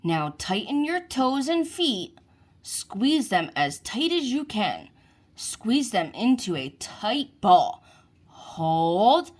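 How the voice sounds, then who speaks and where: A gentle young sea child from a storybook, as a woman reads the part, coaches in a soothing way. The words come slowly, with lively rises and falls in pitch. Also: exaggerated voice. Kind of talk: children's storybook character reading.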